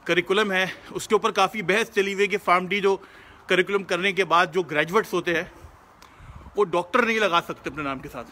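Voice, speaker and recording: Bright high-voiced Pakistani man talking outdoors. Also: fairly quick. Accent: Pakistani Urdu. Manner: earnest, lecturing, sprinkled with English words. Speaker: host answering viewer questions. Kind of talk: casual live-stream talk. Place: outdoors, driving past shops.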